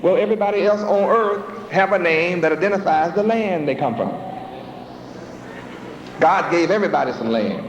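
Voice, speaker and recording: A man's voice: sits high, expressive, normal speed, pauses often, a little hiss or hum. A man preaching a sermon with rhetorical questions and a repetitive cadence. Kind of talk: sermon with acted dialogues. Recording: echoey hall, dull lo-fi sound.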